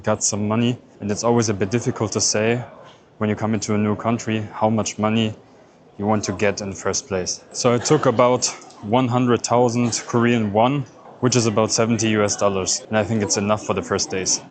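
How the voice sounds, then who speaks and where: A man's voice: fairly low pitch, a little fast. German man vlogging his travels. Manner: relaxed, explains step by step. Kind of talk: casual travel vlog narration. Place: airport and busy city street.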